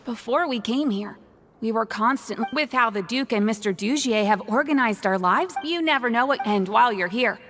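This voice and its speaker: Casting: actress as friendly club volunteer in a game, earnest and helpful, highish voice, long reverb. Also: female voice, moderate pace, a bit bright, lightly acted. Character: friendly, earnest female society member tidying bookshelves. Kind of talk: video game voice acting.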